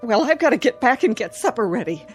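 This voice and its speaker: An actress playing a middle-aged small-town housewife in a radio drama, determinedly pleasant while uneasy and evasive. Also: female voice, on the high side, very animated, quick pace, soft background music. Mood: brittle cheer. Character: fearful small-town housewife keeping up brittle cheer.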